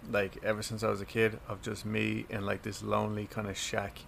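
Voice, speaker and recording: This is an Irish man co-hosting a podcast, joking and chatting loosely. His voice sits low with a gravelly edge. A faint hiss of noise runs under him. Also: completely flat delivery, brisk, fairly bright tone. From Ireland. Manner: joking, laughs easily. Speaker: podcast co-host. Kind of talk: casual chat.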